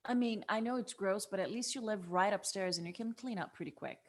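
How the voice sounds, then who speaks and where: A woman's voice: mid-range voice, a little fast, natural voice. An actress playing a kind, level-headed young woman in a script table read, with a hesitant, conciliatory, conversational delivery. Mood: calming. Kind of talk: table read acting of a screenplay.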